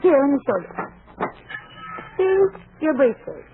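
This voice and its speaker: An actress playing a plucky, quick-witted young American woman innkeeper in a 1940s radio comedy, on a noisy vintage recording. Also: high voice, very animated, narrow, tinny audio, music in the background, full character voice. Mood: flustered.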